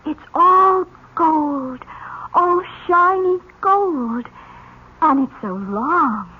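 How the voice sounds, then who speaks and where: Very high-voiced, sly orphan girl. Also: animated, very slow, heavily acted. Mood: entranced, eager. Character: sly orphan girl psychic, delinquent, manipulative and theatrical. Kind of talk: old-time radio drama acting. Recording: hissy muffled old radio recording.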